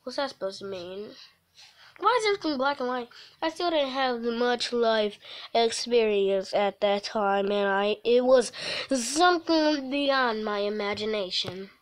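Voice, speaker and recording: A woman livestreamer reading a visual novel aloud, haltingly, breaking off for casual offhand asides. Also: fairly high pitch, lively intonation. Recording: noisy mobile stream with clicks.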